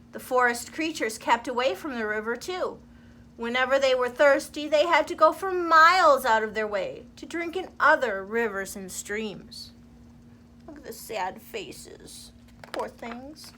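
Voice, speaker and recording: A woman reading a children's storybook aloud in an expressive, deliberate storyteller's manner. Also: on the high side, slight background noise.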